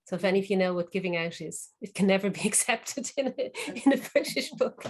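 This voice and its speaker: This is an Irish woman working as a panel moderator. She speaks in a conversational interviewing style, with a middling pitch for a woman. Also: lively intonation, quick pace. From Ireland.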